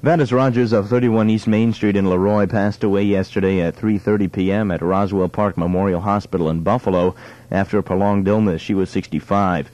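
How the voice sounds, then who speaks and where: A man's voice: fairly low pitch, fairly even intonation, fairly quick, a little mellow, highs cut off. A young man reading a scripted local radio news bulletin, now and then stumbling over his words. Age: young adult. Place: radio studio.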